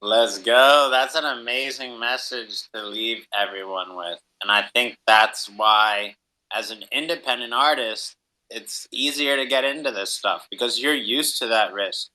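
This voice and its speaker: A man's voice: medium pitch, a little slow, pushed and bright, poor audio. A man hosting a podcast interview in an enthusiastic, encouraging, hype-man manner. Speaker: podcast host.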